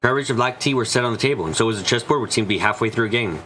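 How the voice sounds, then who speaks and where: A man's voice: mid-range voice, rapid-fire, fairly bright tone, slight background noise. A man reading scripted story narration for a visual novel.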